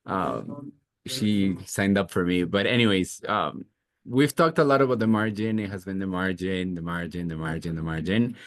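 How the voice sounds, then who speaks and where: A man with a deep voice, a financial analyst asking a question on a conference call in a relaxed, chatty way with many fillers. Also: moderate pace.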